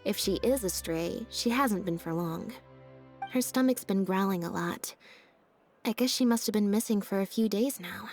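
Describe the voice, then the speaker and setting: A woman voicing a laid-back, deadpan young stage magician's assistant in a video game, speaking in an unhurried, matter-of-fact way. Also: mid-range voice, natural voice. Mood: thoughtful. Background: game background music.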